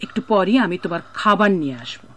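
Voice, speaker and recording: An actress playing a kindly, hospitable innkeeper in a radio drama, fussing and scolding with care. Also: female voice, lowish voice, fast talker, slight background noise. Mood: caring, fussing. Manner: expressive, fussing, scolding yet caring. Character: kindly, motherly woman innkeeper, brisk but hospitable. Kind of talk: Bengali radio drama acting.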